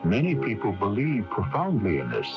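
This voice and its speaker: A man hosting a radio drama, narrating in a measured, theatrical, scripted manner. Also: lowish voice, wildly swooping pitch, creaky. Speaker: radio show host and announcer. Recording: dull lo-fi AM radio recording with music.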